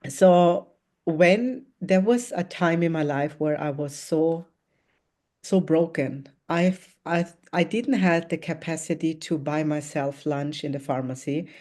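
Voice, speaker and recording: A woman in her thirties from Austria tells her story as a network marketing speaker, her voice low and warm. She speaks earnestly and reflectively, with small hesitations, over a video call. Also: slow. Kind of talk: motivational talk about her life. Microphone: video call, remote.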